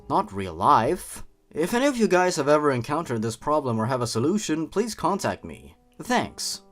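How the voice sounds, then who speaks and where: A young man narrating and commenting on an online horror story, expressively and with playful, wry asides. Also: medium pitch. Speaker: YouTube host and narrator. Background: background music.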